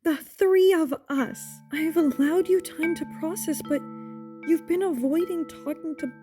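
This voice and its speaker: Woman playing a high-voiced, weary peacemaker wife. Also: measured pace, music in the background. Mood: firm, weary. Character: patient pregnant wife, firm but weary peacemaker. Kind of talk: radio play acting.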